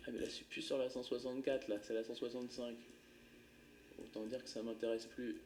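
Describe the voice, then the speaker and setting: A man's voice: medium pitch, flat delivery, brisk, darkish tone, slight background noise. A man commentating his own play of a geography game live, thinking aloud in a casual, muttering way with some swearing.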